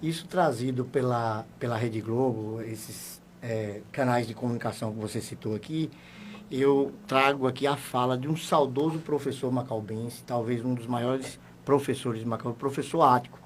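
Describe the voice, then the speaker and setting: A man's voice: mid-range voice, normal speed. A man in a radio studio interview explains his points in a formal way and presses them with growing emphasis. He sits back a bit from the microphone, so the voice comes across slightly distant. There is a faint hum of room ambience behind him. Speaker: municipal education secretary.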